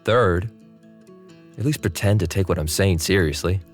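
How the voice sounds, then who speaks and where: Guy doing a bossy controlling husband, real low voice, lecturing, soft music. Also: normal speed, close to an everyday voice. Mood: insistent. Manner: insistent, lecturing. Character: possessive, controlling husband in his prime.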